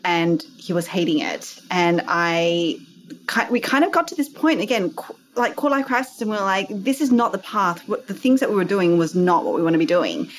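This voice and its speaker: A woman's voice: lowish voice, moderate pace, low-quality recording. A woman in her thirties with an Australian accent, a guest telling her business story in a bubbly, chatty way with self-deprecating laughs. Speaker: entrepreneur guest. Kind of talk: casual interview storytelling.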